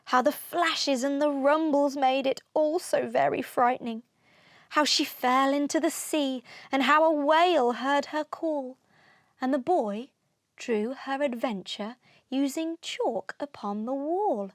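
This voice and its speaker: A woman narrating a children's storybook aloud, expressively, with deliberate rhythmic delivery of rhyming verse. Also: high-pitched, dry recording, studio quality.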